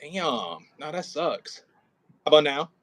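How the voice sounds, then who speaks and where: Male drama director, bright clear-cut tone, informal rehearsal coaching with dramatic demonstrations. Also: a little high, slow pace. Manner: expressive, demonstrates lines dramatically. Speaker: director of an audio play. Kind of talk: coaching an actor in rehearsal, casual. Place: indoors near a window, on a remote call.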